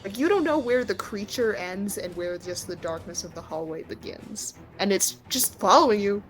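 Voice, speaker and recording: A woman's voice: mid-range voice, animated, moderate pace, low-quality recording. A woman running a tabletop roleplaying game, narrating in a conversational, descriptive way as she improvises. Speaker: game master. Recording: music behind the voice. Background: background music.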